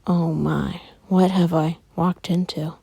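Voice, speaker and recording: A grave, considerate doctor in a spoken story, acted out by a woman in a near-everyday voice. He is hesitant and slow, with a low-key mellow tone, recorded dry. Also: fairly even intonation, creaky, breathy edge, no room sound, full character voice. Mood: dismayed. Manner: hesitant, measured.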